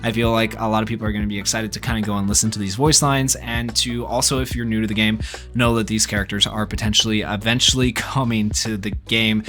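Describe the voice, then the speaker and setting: A man's voice: lowish voice, level tone, fast, fairly bright tone. A man giving casual gaming news commentary as a YouTuber, talking in an informal, speculative, rambling way. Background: background music.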